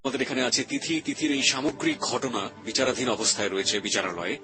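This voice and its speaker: A man with a Bangladeshi Bengali accent hosts a radio interview in a grave, deliberate, measured manner. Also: mid-range voice. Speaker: radio show host. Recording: music under the voice. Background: background music.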